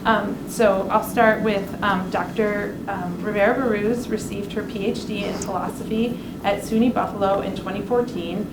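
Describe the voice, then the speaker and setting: A woman's voice: mid-range voice, steady tone, moderate pace, hardly any pauses. A woman introducing the speakers at a university event, reading a prepared introduction stiffly with frequent "um"s. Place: university art gallery. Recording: echoey room with loud background noise.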